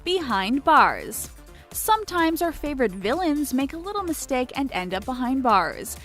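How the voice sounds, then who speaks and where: A woman narrates a scripted countdown video in a high voice. Her intonation is lively, swinging up and down through each sentence, with faint music playing behind her. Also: moderate pace.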